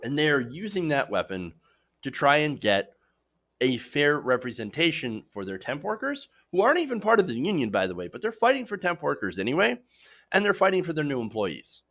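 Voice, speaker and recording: A man hosting a progressive political news show, giving emphatic, opinionated commentary straight to viewers while his voice is slightly hoarse. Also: a little high, very animated, brisk, fairly bright tone.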